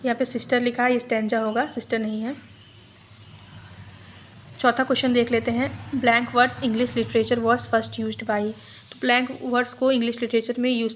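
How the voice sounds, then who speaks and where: A woman teacher going through exam multiple-choice questions, reading each one out slowly and then explaining it. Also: on the high side, flat delivery, a little hiss or hum.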